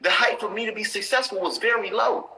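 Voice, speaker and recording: A man's voice: sits high, a little fast, sharp tone, low-quality recording. A man in his thirties giving motivational talk on a live stream, intense and preachy, repeating his points for emphasis. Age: 30s.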